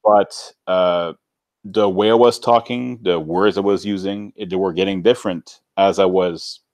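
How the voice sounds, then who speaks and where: Male guest from Canada, deep voice, dry close sound, loose conversational rambling. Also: steady tone, normal speed, a little mellow, no room sound. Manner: rambling, informal, filler-heavy with many "like"s. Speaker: interview guest. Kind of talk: casual chat, personal storytelling.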